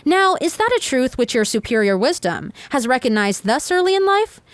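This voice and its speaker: A woman's voice: sits high, sing-song, normal speed, no room sound. A woman voicing an elderly male philosopher who argues his own defense in court, pressing his accuser with pointed rhetorical questions. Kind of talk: reading a dialogue aloud.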